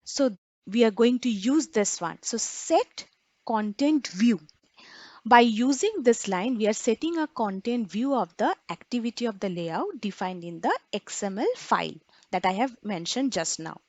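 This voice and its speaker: A woman's voice: on the high side, expressive, measured pace, darkish tone, no echo. A woman instructor giving an explanatory lecture in an emphatic, didactic way, often posing questions and answering them herself. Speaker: programming instructor.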